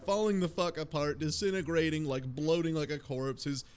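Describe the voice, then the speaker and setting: A man's voice: a little high, a little fast, clear, resonant tone. A man co-hosting a podcast, casually recapping a game with swearing and acted-out quotes. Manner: sweary, animated mock-quoting. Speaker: podcast co-host. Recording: music faintly behind the talk.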